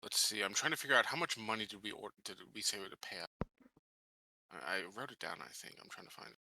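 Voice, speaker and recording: A man's voice: lowish voice, lively intonation, fast talker, creaky, bright, forceful tone, no room sound, poor audio. A man talking casually as a tabletop game player, often restarting and correcting himself. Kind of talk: casual chat.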